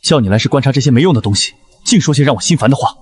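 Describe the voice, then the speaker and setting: A man playing a sickly young prince, gentle in manner yet quietly scheming, in a costume audio drama. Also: medium pitch, animated, racing, husky. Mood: stern.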